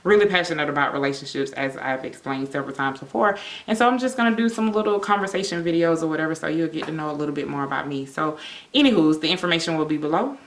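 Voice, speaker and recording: A woman's voice: deep voice, animated, fast. A woman in her thirties with an American accent, chatting casually as a vlog co-host reviewing in a room. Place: indoor room against a plain wall.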